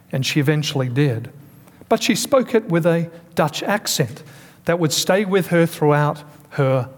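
A man preaching a Sunday sermon to a congregation, stressing key words and putting questions to the listeners. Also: fairly high pitch. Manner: preaching with emphatic pauses and calls to the congregation. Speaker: pastor. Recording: long hall reverb.

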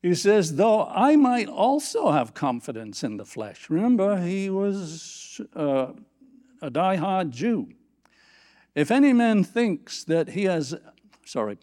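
Guy preaching a sermon, kinda high voice but mellow, gets loud on the verses then goes quiet. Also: slow pace. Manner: animated, rising pitch on scripture quotes; drops to quiet asides. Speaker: pastor. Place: church platform.

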